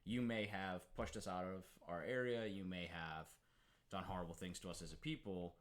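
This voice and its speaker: A man's voice: sits low, fairly even intonation, normal speed. A young American man co-hosting a podcast, chatting casually, rambling, swearing a lot and laughing often. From United States. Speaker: podcast co-host. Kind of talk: casual chat.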